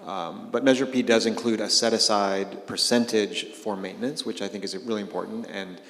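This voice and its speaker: Low-voiced man on a panel, echoey. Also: fairly even intonation, normal speed, a bit bright. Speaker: conference panelist. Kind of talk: panel discussion. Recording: echoey hall.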